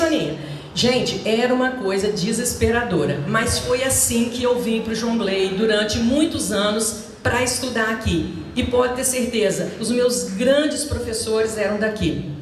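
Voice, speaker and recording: A woman's voice: fairly high pitch, slight background noise. A retired Brazilian teacher in her sixties telling personal stories to students in a chatty, anecdotal way, talking straight to the audience. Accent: Brazilian Portuguese. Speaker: retired teacher, guest speaker. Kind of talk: personal storytelling talk to students. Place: large echoey school hall. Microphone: handheld microphone. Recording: echoey hall from a distance.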